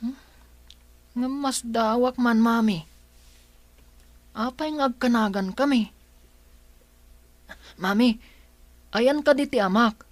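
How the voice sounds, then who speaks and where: A woman's voice: on the high side, takes it slowly, pauses often, dry recording. A woman with a Filipino accent playing an earnest, questioning schoolboy son in a radio drama.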